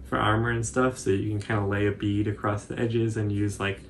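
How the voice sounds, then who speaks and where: A man, casually explaining a craft project in loose, halting phrases. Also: on the low side, little pitch movement, slight background noise. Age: 20s. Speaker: cosplay tutorial co-host. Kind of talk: casual unscripted tutorial commentary. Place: indoor living room, seated on couch.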